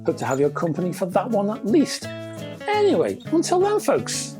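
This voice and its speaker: A man presenting a scripted product review, speaking in measured, deliberate phrases with chatty, whimsical turns. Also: sits high, huge pitch swings, over music. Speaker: reviewer and presenter of an audio gear channel.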